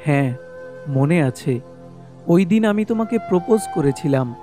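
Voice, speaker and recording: A man's voice: fairly high pitch, expressive, moderate pace, lightly acted. A man playing an anxious, heartbroken young lover in a horror cartoon, speaking in an emotional, pleading way. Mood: grieving. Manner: pleading, emotional, repeating phrases. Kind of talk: dramatic horror cartoon voice acting. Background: loud music.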